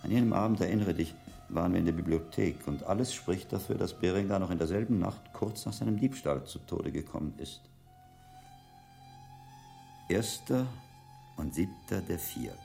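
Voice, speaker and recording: A shrewd older scholar monk in a radio drama. His low voice is gravelly and lively, musing deliberately and teaching as he investigates, with faint music behind. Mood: pensive, doubtful. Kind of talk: German radio drama acting.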